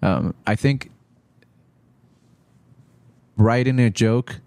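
A man in his thirties who grew up in Iraq, a stand-up comedian guest talking in a halting, blunt, profane way at a studio desk mic. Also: medium pitch, gravelly, mellow, no room sound. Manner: halting, many restarts and fillers, blunt and profane. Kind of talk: casual podcast chat. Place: small studio with textured walls. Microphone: desk mic on stand, headphones.

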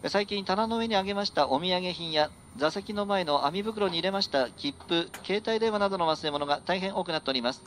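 A male train conductor rattles through routine announcements at a brisk pace. His voice is high for a man, with a light rumble of the train behind it. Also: fairly bright tone. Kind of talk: live formulaic train announcement. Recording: train PA with vehicle noise.

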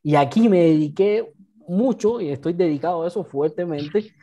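A man's voice: sits high, moderate pace, warm tone. A man in his thirties from Cuba, speaking emphatically on a video call and repeating his points with tags such as "right?". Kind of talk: casual video call chat. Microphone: webcam on a video call, earphones.

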